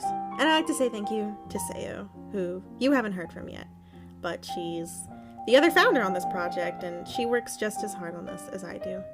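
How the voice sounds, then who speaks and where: A woman speaking informally as a podcast host, chatty, with self-deprecating asides. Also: on the high side. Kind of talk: informal thank-you announcement to listeners. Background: guitar music.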